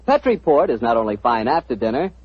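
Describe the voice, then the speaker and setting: A man reading a scripted sponsor commercial as a radio announcer, with a smooth, persuasive delivery. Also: medium pitch, big pitch swings, fast talker, no room sound. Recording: dry, lo-fi 1940s radio recording.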